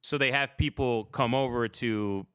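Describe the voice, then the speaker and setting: Bright, clear-voiced man chatting casually. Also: mid-range voice, measured pace, no echo, clean studio sound. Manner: rambling, profane, irreverent storytelling. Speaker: livestream show host. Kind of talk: casual comedic chat.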